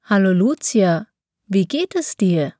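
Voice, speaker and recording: A woman reading German lesson dialogues, taking every part herself with pauses between phrases. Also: mid-range voice, big pitch swings, dark tone, no echo, clean studio sound. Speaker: German reader for a language lesson. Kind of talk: slow, clearly spaced phrase reading.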